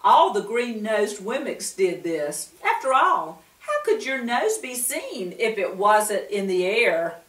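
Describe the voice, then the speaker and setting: A woman reads a children's storybook aloud, slow and expressive, her voice rising and falling a lot as she asks the listeners questions. She sounds distant from the mic in a roomy, echoey space. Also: medium pitch.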